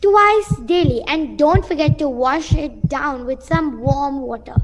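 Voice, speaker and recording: A woman playing a proper, nervous but defiant village mother in an amateur radio play, speaking in a halting, deliberate way. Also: high voice, soft background music.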